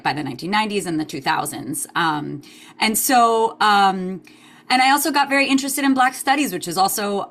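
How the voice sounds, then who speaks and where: A woman's voice: medium pitch, a bit bright. A woman historian from Queens, answering interview questions thoughtfully with many "um" fillers and the odd Spanish phrase. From United States (Queens, New York), Cuban parents. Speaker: historian, guest author.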